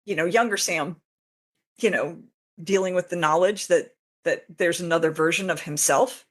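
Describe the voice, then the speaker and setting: A woman's voice: fairly low pitch, moderate pace. A woman talking casually as an interview guest about her own writing. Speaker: podcast guest, fanfiction author.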